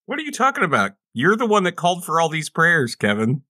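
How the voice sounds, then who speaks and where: A man's voice: a little high, wildly swooping pitch, fast, pushed and bright, dry recording, studio quality. A man in teasing, argumentative table talk as a player in a roleplaying game.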